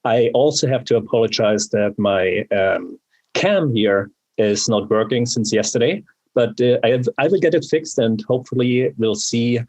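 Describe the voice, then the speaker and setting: A man from Germany with a German accent, introducing himself as a new club member on a video call, polite and halting, with many fillers. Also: mid-range voice, a little mellow.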